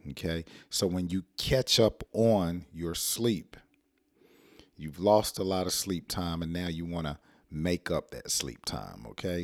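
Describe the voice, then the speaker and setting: A middle-aged American man with a hoarse, rough throat, teaching an English lesson in a casual, playful way and acting out example sentences. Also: low-pitched, no echo, clean studio sound.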